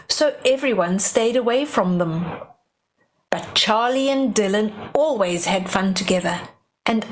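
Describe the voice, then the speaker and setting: A woman's voice: fairly high pitch, slight background noise. An older woman reading a children's bedtime story aloud, expressively, with chatty exclamations over the pictures.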